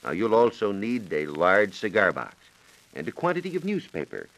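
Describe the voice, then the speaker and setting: An American man giving measured, precise instructions as a science reporter on a scripted 1940s radio program. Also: fairly low pitch, wildly swooping pitch, fairly bright tone, low-quality recording. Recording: 1940s radio broadcast recording.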